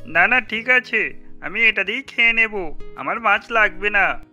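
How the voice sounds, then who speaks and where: Self-effacing young cartoon husband woman performer, lowered pitch, very sharp tone, deferring. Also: expressive, measured pace, faint music behind. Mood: resigned.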